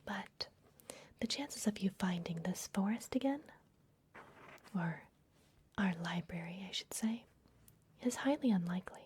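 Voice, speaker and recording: A woman whispering gently and unhurriedly in a breathy voice, playing a kindly vampire librarian in an ASMR fantasy roleplay. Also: mid-range voice, animated, measured pace, pauses often, slight background noise. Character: kindly vampire librarian hosting a human guest. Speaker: ASMR performer.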